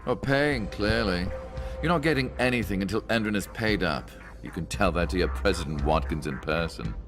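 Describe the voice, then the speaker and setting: A man's voice: deep voice, normal speed, music in the background. An actor playing a slippery, sardonic male arms dealer in a video game cutscene. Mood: smug. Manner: sardonic, swaggering.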